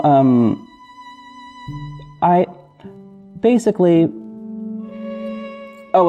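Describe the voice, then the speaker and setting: A man hosting a podcast, talking casually and apologetically to listeners in a halting, self-correcting, rambling way. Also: mid-range voice. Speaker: podcast host and audio drama creator. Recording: dull lo-fi recording with music bed. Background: soft guitar backing music.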